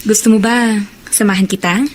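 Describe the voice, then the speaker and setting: A woman's voice: medium pitch, steady tone, moderate pace, lightly acted. A woman with a Filipino accent acting as a loving, patient girlfriend in a radio drama. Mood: affectionate, understanding. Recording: faint background ambience noise.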